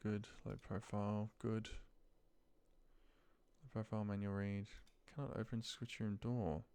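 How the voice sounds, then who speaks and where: A man with a deep voice and a gravelly fry walks someone through office work on his screen. He thinks aloud casually and haltingly, half muttering to himself as he goes. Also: level tone, dark tone. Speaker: trainer demonstrating office data work. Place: voice-over of a screen recording.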